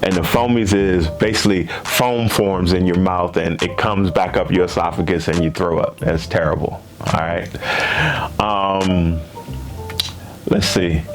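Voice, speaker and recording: Deep voiced guy in his fifties just chatting to his viewers, keeps saying right and you know, a little music in the back. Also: fairly even intonation, measured pace. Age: 50s. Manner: loose, repeats himself with tag questions. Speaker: gaming channel host. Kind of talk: casual chat to camera.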